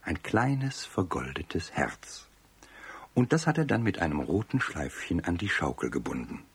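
A man narrating a children's radio play as scripted storytelling, expressive and speaking directly to young listeners. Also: sits low, a little slow, breathy edge.

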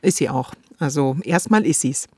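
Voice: medium pitch, lively intonation, normal speed, dry recording. A podcast co-host, discussing radio drama conversationally, thinking aloud and giving opinions.

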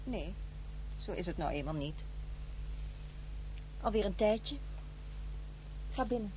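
A woman's voice: mid-range voice, lively intonation, slow, warm tone, slight background noise, rough recording. An actress playing a weary divorced mother in middle age in a radio play, matter-of-fact and reserved. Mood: cool, polite. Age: middle-aged. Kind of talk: radio play acting, naturalistic dialogue.

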